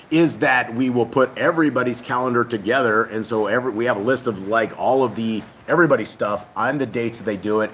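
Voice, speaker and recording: A man hosting a family faith channel who speaks to viewers in a casual, earnest, rambling way, his voice hoarse and partly lost. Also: mid-range voice, fairly bright tone, a little hiss or hum, low-quality recording. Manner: earnest, rambling, with many hesitations. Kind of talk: casual spoken address to viewers.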